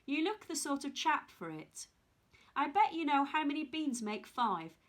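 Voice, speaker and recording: A woman's voice: high voice, big pitch swings, unhurried, voice pushed up. A woman voicing a peculiar, chuckling, sly little old man in a storybook reading. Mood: chuckling, coaxing.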